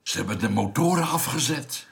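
A man's voice: mid-range voice, expressive, brisk, vocal fry, heavy background noise. An actor playing a hesitant, questioning spaceship crewman in a science fiction radio drama. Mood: uneasy. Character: low-voiced, questioning male spaceship crewman.

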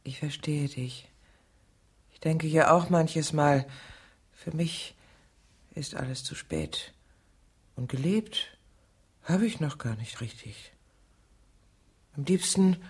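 Lovesick, creaky-voiced middle-aged woman. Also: low voice, very animated, slow, pauses often, no echo, exaggerated voice. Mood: melancholy, self-pitying. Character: lonely, lovesick middle-aged woman, scheming and self-pitying. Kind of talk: German radio drama acting, dialogue and confiding inner narration.

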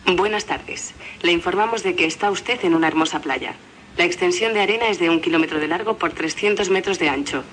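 An actress playing an impersonal announcer in a radio play, reciting facts in a formal, matter-of-fact way. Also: female voice, lowish voice, a little fast, a bit bright, natural voice. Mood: detached.

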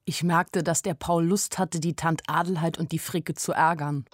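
A woman's voice: deep voice, steady tone, normal speed, no room sound, clean studio sound. An actress playing a young shopgirl in love, narrating a radio play in a plain, reflective, anxious and melancholy way. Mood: wry, reflective.

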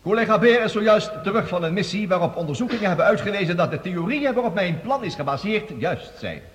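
A man's voice: high-pitched, expressive. An actor playing an eloquent, sardonic scientist-statesman, giving theatrical formal debate speeches in a radio play. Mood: formal, assured. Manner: theatrical, oratorical, pompous, with dramatic pauses. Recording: long reverberant hall, radio play production.

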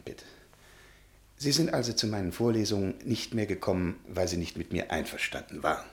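An actor playing a shy, pedantic history professor in a German radio play, precise and scholarly, easily flustered into indignation. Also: male voice, deep voice, animated, fairly quick.

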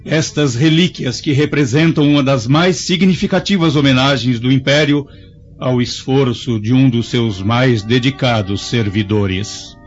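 Man playing a ceremonious Roman official, mid-pitched. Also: a little slow, lightly acted. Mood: solemn, honored. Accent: Brazilian. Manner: ceremonious speechmaking. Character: formal, courteous Roman imperial administrator. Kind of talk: radio drama acting. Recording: dull lo-fi audio with music.

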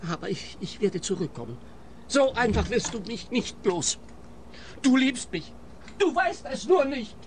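An actor playing a lovesick, easily outraged suitor in a comic radio play, whiny and stammering with pedantic indignation. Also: male voice, extremely high pitch, sing-song, unhurried, noisy background, exaggerated voice. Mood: outraged, pleading.

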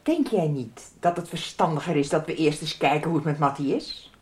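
A woman's voice: deep voice, lively intonation, a little fast, a little mellow. Worried, exasperated mother. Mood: reproachful. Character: worried, exasperated middle-aged mother and wife. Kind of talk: 1990s radio soap acting.

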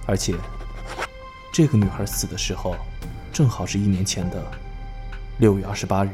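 Music runs behind a lowish male voice, acted by a man. He plays a sober subway passenger with a serious, expository delivery in an audio drama. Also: steady tone, normal speed, natural voice. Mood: grave.

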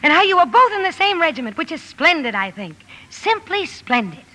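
A woman's voice: high voice, lively intonation, moderate pace, bright, forceful tone, exaggerated voice. An actress playing a spirited, impulsive tomboy young woman in a period drama, hearty, eager and theatrical. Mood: flustered eager. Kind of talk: period radio drama acting with narration.

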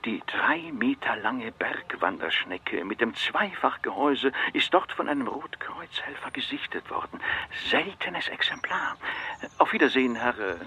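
An actor playing a pompous, zealous insect researcher in a comic radio play, speaking formally and urgently. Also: male voice, mid-range voice, expressive, unhurried, sharp tone, in a whisper. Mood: formal, self-important. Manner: formal, self-important, urgent.

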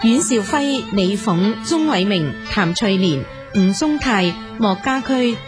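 Woman announcer over clear music. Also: mid-range voice, lively intonation, slow. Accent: Hong Kong Cantonese. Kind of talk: scripted radio credits announcement.

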